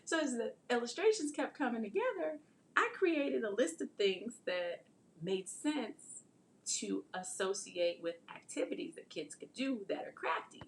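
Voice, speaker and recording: Slightly creaky woman host, faint rumbling noise. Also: animated, measured pace. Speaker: host, marketing strategist and children's book author. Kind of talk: casual interview chat with storytelling.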